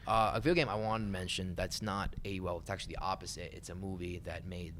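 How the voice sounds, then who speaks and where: He is a low-voiced man who talks quickly in an informal, opinionated way. It sounds like a podcast panel chat, with some clear noise behind him. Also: fairly even intonation, fairly bright tone. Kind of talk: casual opinionated discussion.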